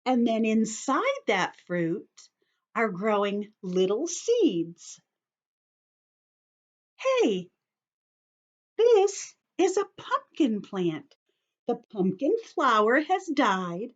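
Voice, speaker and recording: Slow, swooping high-voiced woman teacher reading aloud. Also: dull audio. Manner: expressive, simple teacherly phrasing with questions to children. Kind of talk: read-aloud lesson for children with explanations.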